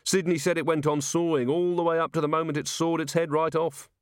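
A man's voice: a little high, level tone, a little fast, clean tone, dry recording, studio quality, pitched above the performer's own voice, close to an everyday voice. A man voicing a blunt working-class male timber merchant with a colloquial, complaining manner in an audiobook. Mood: aggrieved.

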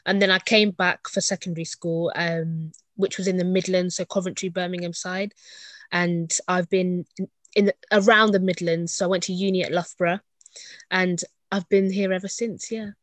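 A woman's voice: lowish voice, little pitch movement, moderate pace, dry recording. A woman in her twenties, born in Uganda and raised in England, talking through her upbringing in a reflective, chatty way with frequent ums. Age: 20s. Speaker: interview guest. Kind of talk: casual conversational interview.

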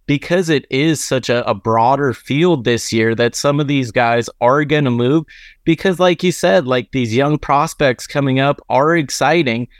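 Man, podcast co-host, casual, conversational, filler words, medium pitch, dry close sound. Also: a little slow, no echo. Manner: conversational, full of "like" and "you know". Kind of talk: casual sports podcast talk.

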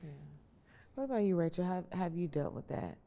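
Female moderator, markedly low voice, conversational questioning style, captured in a reverberant, hall-like space. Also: animated, brisk, dark tone. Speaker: discussion host, journalist. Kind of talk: conversational interviewing.

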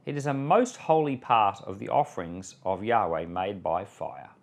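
An Australian man, a pastor hosting a Bible podcast, reading and explaining scripture with measured, emphatic phrasing. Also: lowish voice, expressive, creaky. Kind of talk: scripture reading with devotional commentary.

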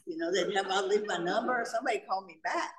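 A woman's voice: mid-range voice, rapid-fire, recorded from a distance, crowd noise. A woman from North Carolina, telling her own recovery story with humor to a meeting. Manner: humorous storytelling, laughs often. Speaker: AA meeting speaker. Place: church meeting hall. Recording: echoey hall, speaker off-mic.

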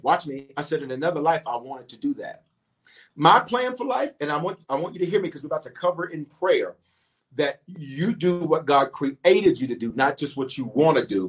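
A middle-aged male pastor and bishop preaching a livestreamed sermon, swinging from quiet asides to sudden emphatic peaks, repeating phrases and calling out to online viewers. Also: mid-range voice. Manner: talks directly to online viewers, calling for waves and shout-outs.

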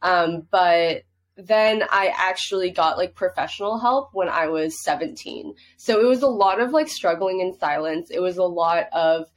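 A woman's voice: fairly low pitch, moderate pace. A young woman in her twenties who grew up in Hong Kong, chatting openly as a podcast guest with lots of "like" fillers. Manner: chatty, heavy use of "like", laughs easily. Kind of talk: casual interview chat.

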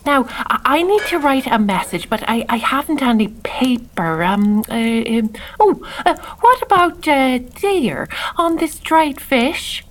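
A woman's voice: sits high, very animated, measured pace, hardly any pauses, slight background noise, poor audio. An actress with an Irish accent playing an eccentric, kindly northern wise woman in a children's audio drama, exclaiming and muttering to herself. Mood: motherly, fussing.

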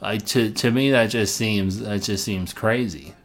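A man's voice: lowish voice, unhurried. Rambling middle-aged man musing aloud. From Illinois, United States. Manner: rambling, many restarts and repeated words, thinking aloud; laughs between phrases, swears casually. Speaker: podcast host. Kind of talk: casual solo podcast monologue.

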